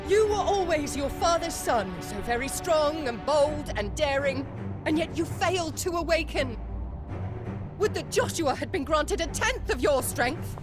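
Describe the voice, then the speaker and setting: A woman's voice: high voice, lively intonation, measured pace. A woman voicing a haughty, bitter middle-aged noblewoman mother, imperious and theatrical, in a game cutscene. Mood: bitter, resentful. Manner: imperious, grandiose, formal. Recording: game music behind. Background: orchestral game music.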